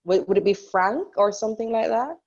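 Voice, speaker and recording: A woman chatting casually and haltingly as a table read participant on a video call. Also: medium pitch, lively intonation, a little mellow. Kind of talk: casual chat. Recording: dull lo-fi video call audio.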